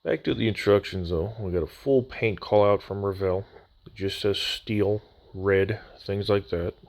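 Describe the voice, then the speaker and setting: Low-voiced male hobbyist, laid-back and wry, voice slightly worn, dry close-mic voice-over. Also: fairly even intonation, measured pace, a little mellow, dry recording. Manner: casual, dry humor. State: recovering from near voice loss. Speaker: scale model builder. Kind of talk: voice-over unboxing walkthrough.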